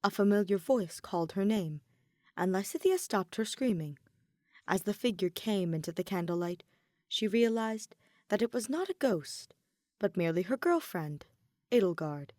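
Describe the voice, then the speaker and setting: A woman narrating a fan fiction story in an even, measured storytelling manner. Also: medium pitch, no echo. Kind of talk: audiobook narration.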